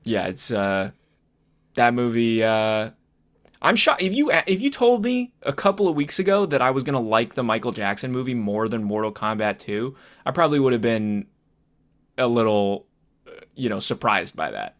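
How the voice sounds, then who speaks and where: A man co-hosting a podcast, discussing films casually in a halting, repetitive, conversational way. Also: medium pitch, very animated. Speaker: podcast host.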